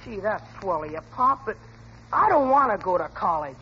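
Whiny, headstrong 1940s radio schoolboy, American, high-pitched; muffled, hissy vintage recording. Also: male voice, very animated, moderate pace, pushed and bright, full character voice. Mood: stubborn, fed up. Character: whiny, stubborn young teenage son. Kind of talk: 1940s radio comedy acting.

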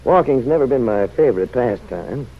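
A man plays a suave, idle ladies' man from a 1940s radio drama. His voice is husky and sits at an average male pitch. He teases and flatters in gallant, wordy phrases, heard through a dull old radio recording. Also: moderate pace, close to an everyday voice. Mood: flirtatious. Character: smooth, flirtatious, idle male charmer with a calculating streak. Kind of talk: 1940s radio serial acting.

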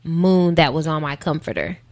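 American woman with a lowish voice, talking casually. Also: steady tone, normal speed. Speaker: interview guest, singer and rapper. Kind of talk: casual conversational interview.

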